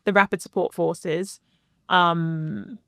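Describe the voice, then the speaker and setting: A young woman, a guest, telling a long story in a casual interview, animated and full of "like" and "um". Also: on the low side, slow pace, dry recording, studio quality. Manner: animated storyteller, many fillers like "like" and "um".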